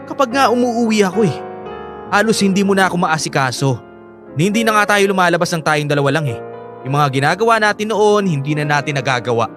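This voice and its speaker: A man's voice: high voice, animated, fast talker. A man acting an earnest young husband who feels neglected, with a Filipino accent, in a radio drama. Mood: frustrated, pleading. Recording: dry studio drama over background music.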